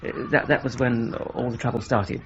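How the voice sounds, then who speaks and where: A man's voice: mid-range voice, fairly even intonation, moderate pace, noisy background, natural voice. Man playing a hesitant young man, stammering, apologetic, dull lo-fi sound. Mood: flustered. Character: flustered, hesitant young man questioned by police.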